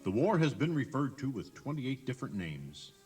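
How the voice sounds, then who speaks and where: A man's voice: mid-range voice, very animated, normal speed, darkish tone, slightly rough, faint music behind. An American man narrating a history tour in a conversational, emphatic way, putting rhetorical questions straight to the listener. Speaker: audio tour guide narrator. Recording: 1983 cassette tour tape.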